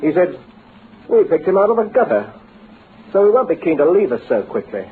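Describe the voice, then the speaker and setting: Tinny old-radio British businessman, lively and persuasive. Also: on the high side. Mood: indignant, goading. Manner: brisk, persuasive, avuncular. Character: brisk, flattering, smooth-talking businessman recruiting a clerk. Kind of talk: old-time radio drama acting. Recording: tinny band-limited old radio recording, hissy.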